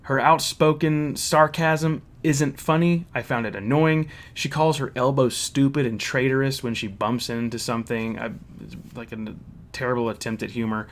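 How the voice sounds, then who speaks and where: A man's voice: mid-range voice, steady tone, moderate pace. Blunt man giving a casual review. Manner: blunt, critical. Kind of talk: casual opinionated review with story recap.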